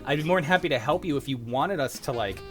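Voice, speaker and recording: A man's voice: fairly high pitch, lively intonation, fast talker, faint music behind. A young man hosting an online group in a casual, easygoing, accommodating conversational way. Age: young adult. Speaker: host of a live script-reading stream. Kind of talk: casual post-show group chat.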